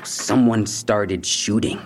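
An actor playing a defensive young robbery suspect in an audio drama, with husky, slangy, evasive talk and tough posturing over fear. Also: male voice, lowish voice, steady tone, takes it slowly. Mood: guarded. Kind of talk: naturalistic audio drama acting.

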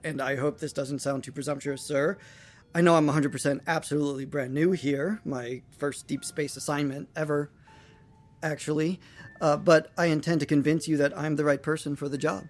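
A man's voice: fairly high pitch, fairly even intonation, normal speed, close to an everyday voice. A man playing an eager young rookie surgeon in a sci-fi audio drama, stammering, earnest and deferential. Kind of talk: audio fiction acting.

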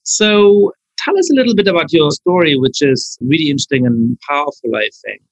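A man hosting a podcast, introducing his guest and asking about his life in an earnest, measured way. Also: fairly high pitch, expressive, poor audio. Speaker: podcast host. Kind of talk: podcast interview.

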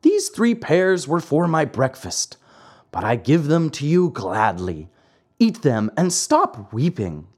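A narrator voicing a kindly, patient old father in a children's storybook, calmly admonishing and moralizing. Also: mid-range voice, slow pace, slightly rough, voice pushed up, heavily acted. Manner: calm, gently admonishing. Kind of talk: children's storybook character acting.

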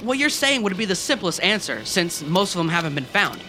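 A man's voice: high-pitched, big pitch swings, a little fast, bright, forceful tone, slight background noise, exaggerated voice. An actor playing a keen young office colleague turned amateur sleuth in an audio drama, a man who talks eagerly and dramatically. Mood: intrigued, conspiratorial. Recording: music under the voice.

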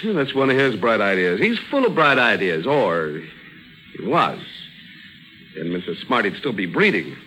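A gloating male gangster from an old radio crime drama. His low voice has a hard, forceful edge and swings around as he taunts and boasts. It sounds like a vintage broadcast. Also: sing-song, moderate pace, rough recording. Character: gloating, menacing gangster killer with a rifle.

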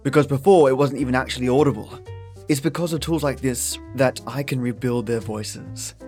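A man's voice: mid-range voice, steady tone, normal speed, a little mellow. A man hosting a podcast, giving a casual, scripted introduction in an enthusiastic, chatty manner. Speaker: podcast host. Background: background guitar music.

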